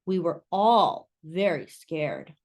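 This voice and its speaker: A kindly old man with a lowered, hushed voice tells a grave tale slowly, his pitch still rising and falling with feeling, as a woman reads him aloud. He sounds gentle and serious, as if sharing a solemn memory with a child. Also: sing-song, exaggerated voice. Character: kindly old male neighbor, gentle storyteller.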